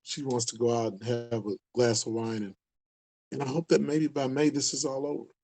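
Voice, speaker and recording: A man's voice: mid-range voice, flat delivery, normal speed, mellow, a little hiss or hum. Earnest older community leader, a man in his sixties with an Upper Midwest accent, talking loosely and repeating points. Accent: Upper Midwest American. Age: 60s. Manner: earnest, rambling, repeats himself for emphasis. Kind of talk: casual talk to camera.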